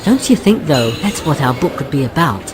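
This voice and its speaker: An actress playing a dreamy would-be writer, tipsy and wistfully philosophical. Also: female voice, sits low, very animated, brisk, over music. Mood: musing. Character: dreamy drunk would-be writer, Comma, young woman. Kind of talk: radio drama acting.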